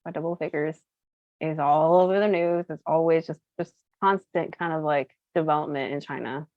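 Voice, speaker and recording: A woman's voice: low voice, fairly even intonation, normal speed, no room sound, narrow, tinny audio. A woman in her thirties from Colorado whose first language is Cantonese, chatting casually as an interview guest with lots of fillers. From United States (Colorado). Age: 30s. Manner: chatty, uses many fillers and laughs easily. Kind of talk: casual chat, storytelling.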